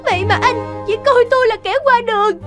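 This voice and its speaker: A woman playing a demanding, aggrieved young mistress in an animated drama, pleading and insistent. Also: extremely high pitch, brisk. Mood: hurt, angry. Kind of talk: animated cartoon drama acting. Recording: loud music behind the voice. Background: music.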